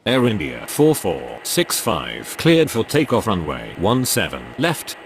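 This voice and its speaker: A man voicing a brisk, official airport radio operator in a game, with clipped phraseology. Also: lowish voice, huge pitch swings, soft background music, heavily acted.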